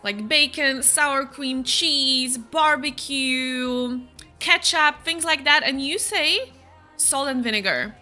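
Young slovak woman streaming a game, bright higherish voice, chatting with viewers, faint music under her. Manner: chatty, reads chat messages aloud and answers them. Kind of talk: casual chat while gaming.

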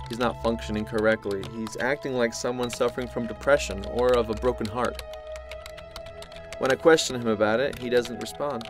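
An actor playing a grave, concerned male scientist in an audio drama, speaking in a measured, formal way. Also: medium pitch, close to an everyday voice. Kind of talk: audio drama acting, opening with a dictated log.

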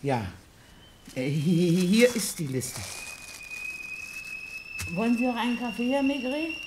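An actress playing an obliging, gossipy, slightly hesitant apartment concierge in a radio crime drama, with slight background noise. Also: female voice, on the high side, big pitch swings, a little slow. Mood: obliging, chatty. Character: chatty, hospitable apartment building concierge.

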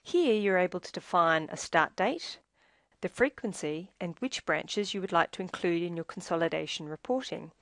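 Low-pitched woman narrating a software tutorial, dry sound. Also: expressive, normal speed, dry recording. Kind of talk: scripted instructional narration.

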